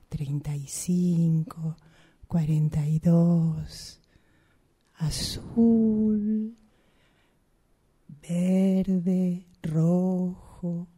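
A woman performs a vocal acting exercise. Her voice is very dark and soft-edged. She delivers each item separately and extremely slowly, pausing between them. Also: fairly low pitch. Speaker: radio drama workshop participant. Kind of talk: acting exercise, reciting numbers and colours.

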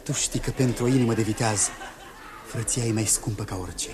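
A man's voice: fairly low pitch, steady tone, a crowd in the background, close to an everyday voice. A man playing a brave young hero prince in a 1960s radio drama, earnest and tender with his bride.

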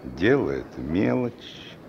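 An actor playing a sly, menacing killer and gang leader with a gravelly voice, in a Soviet-era radio drama. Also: male voice, low voice, very animated, heavily acted. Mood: sly menace. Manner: slow, taunting, chuckling criminal slang. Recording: dull, noisy old radio recording.

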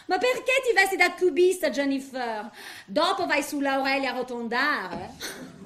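A woman's voice: high voice, very animated, unhurried, fairly bright tone, full character voice. A woman playing a vain, snobbish, flirtatious businesswoman in a comic stage monologue, theatrical and gushing, dropping in French exclamations. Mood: mocking, haughty. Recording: roomy, echoing sound.

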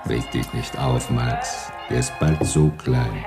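An actor playing a smug, scheming wartime SS camp official with a husky, rough voice in a German radio play. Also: male voice, extremely low pitch, steady tone, slow pace, music in the background, exaggerated voice. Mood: confidential, scheming.

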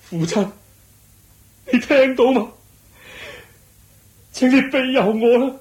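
A man's voice: very high voice, slow pace, a little mellow. A man acting a young exiled prince bent on avenging his father, declamatory and emotional, in a radio drama. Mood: anguished, pleading. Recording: echoey radio drama recording.

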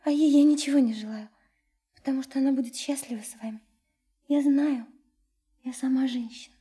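An actress in a radio drama playing a sincere, lovesick young woman, soft and hesitant, often dropping into a breathy whisper. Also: high voice, steady tone, a little fast. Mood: grateful, tender. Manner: hesitant, earnest, confiding. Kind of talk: intimate radio drama acting.

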